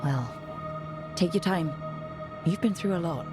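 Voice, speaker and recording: An actress playing a calm, supportive woman hero in a fantasy video game, speaking in a reassuring, conversational way. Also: on the low side, measured pace. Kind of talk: video game dialogue acting. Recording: loud game music behind the voice. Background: loud game soundtrack music.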